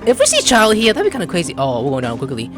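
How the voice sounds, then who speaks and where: A woman doing casual, excitable let's-play commentary, exclaiming and gushing as she talks to the characters and to herself. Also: fairly low pitch, very fast. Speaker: gameplay streamer. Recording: game music behind the voice.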